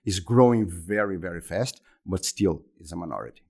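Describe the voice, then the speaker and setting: A man in his fifties with a Brazilian Portuguese accent, answering interview questions in English that is not his first language. His voice sits fairly low, and he talks haltingly, repeating words and restarting phrases as he goes. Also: darkish tone, slightly rough. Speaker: interview guest, exhibition center executive.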